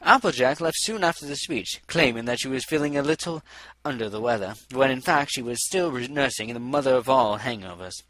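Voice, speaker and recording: A man's voice: medium pitch, brisk, a bit bright. A man reading a scripted story as an audiobook narrator.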